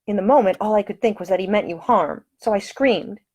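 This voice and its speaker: A woman's voice: mid-range voice, fairly quick, darkish tone, pitched above the performer's own voice, close to an everyday voice. A woman voicing a brave, proper young lady in an audiobook story, with formal, articulate speech. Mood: anxious, earnest.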